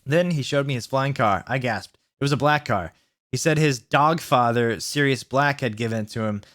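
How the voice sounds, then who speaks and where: A man hosting a comic read-aloud of fan fiction, sardonic, with mocking asides and deliberately kept mistakes. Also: medium pitch, fairly bright tone. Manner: deliberately reads out misspellings, sardonic.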